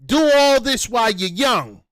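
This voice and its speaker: A man speaking with a high-pitched voice delivers reaction commentary. He talks at a deliberate, slow pace, lecturing and repeating his points for emphasis. The sound is dry and close. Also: big pitch swings, a bit bright, no echo. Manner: preachy, repetitive, emphatic.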